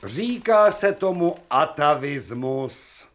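An actor playing an authoritative, ironic professor of medicine, lecturing and declaiming in a radio drama. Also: male voice, on the high side, very animated, a loud crowd. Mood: dry, authoritative.

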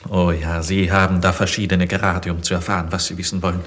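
A stoic, devoted young prisoner with a low voice. He speaks gravely and with measured defiance, in old-fashioned German radio drama style. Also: level tone, a bit bright. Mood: resolute, resigned. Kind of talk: 1950s German radio play acting.

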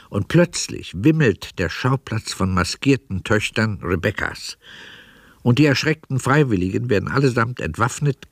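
A man's voice: fairly low pitch, vocal fry, no room sound, clean studio sound. A man reading scripted narration for a radio drama.

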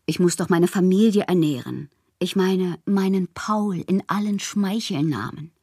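Casting: lonely bohemian woman writer for a literary radio monologue, low husky register, wistful and theatrical, often whispering, close dry sound. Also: a little slow, a little mellow, no echo. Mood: whimsical, anxious.

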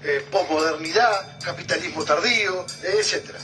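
Man doing pensive bookish argentine historian, high voice, bit distant, music under it. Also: normal speed, close to an everyday voice. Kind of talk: radio drama acting.

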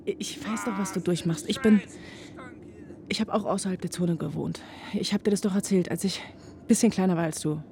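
A woman's voice: mid-range voice, steady tone, brisk, gravelly, noisy background, close to an everyday voice. A woman as earnest young student Deirdre. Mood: earnest, reassuring. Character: earnest, idealistic young female boarding-school student Deirdre. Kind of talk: naturalistic radio play acting.

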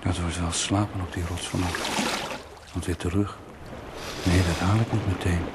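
A man's voice: low voice, flat delivery, normal speed, close to an everyday voice. An actor playing a stubborn swimmer alone at sea in a radio play, philosophising and mocking himself as he reasons his way onward. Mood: self-persuading, musing. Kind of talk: radio play inner monologue. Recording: very noisy old radio play recording.

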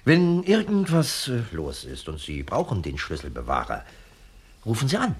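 A man's voice: fairly low pitch, wildly swooping pitch, moderate pace, husky, full character voice. An actor playing a sceptical, know-it-all male criminal accomplice who explains pointedly in a 1970s radio crime comedy. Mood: dry, sceptical.

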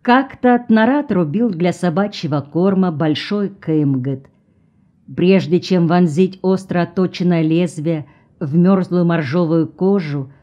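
A woman reading a literary novel aloud as an audiobook, calm and even, lifting her voice a little for the characters' speech. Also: fairly low pitch, highs cut off. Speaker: audiobook reader.

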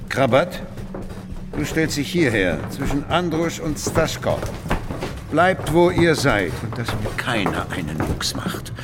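An actor playing a menacing, commanding master sorcerer in a radio drama, speaking slowly and deliberately with threat. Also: male voice, medium pitch, big pitch swings, over music. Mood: commanding, threatening.

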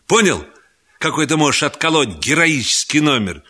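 A man's voice: on the high side, big pitch swings, normal speed, bright, forceful tone, breathy edge, exaggerated voice. A man voicing a boastful, scheming schoolboy in a humorous radio story, eager and self-important. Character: boastful scheming schoolboy, cocky young angler.

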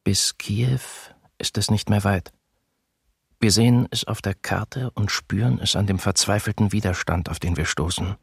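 An actor playing a weary wartime soldier giving a subdued, terse report in a radio drama, with a breathy, gravelly voice. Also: male voice, on the low side, steady tone, moderate pace, no room sound, clean studio sound, heavily acted. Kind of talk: scripted testimony reading in radio drama.

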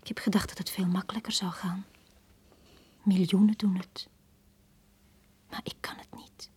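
An actress playing a lonely, pleading young woman, frightened yet proud, with a breathy, husky voice and a fragile intensity in a radio drama. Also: medium pitch, little pitch movement, moderate pace, a little mellow. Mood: despairing. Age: young adult.

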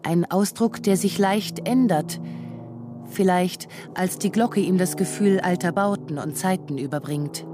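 A woman narrating a literary radio drama in a deliberate, observational way. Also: on the low side, music in the background.

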